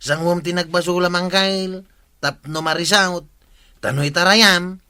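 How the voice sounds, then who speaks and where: An actor playing a nosy, insistent village sidekick in Ilocano radio comedy, questioning and exclamatory with a Filipino accent. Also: male voice, high-pitched, fairly even intonation, unhurried, bright, forceful tone, clear, resonant tone, no echo, full character voice. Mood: chiding.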